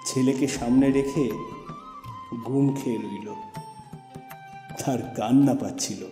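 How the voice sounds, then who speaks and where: A man's voice: mid-range voice, slow pace, warm tone. A man reading a short story aloud as dramatic scripted narration. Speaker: audio story narrator and reader. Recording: echoey reverberant room with music bed. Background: background music.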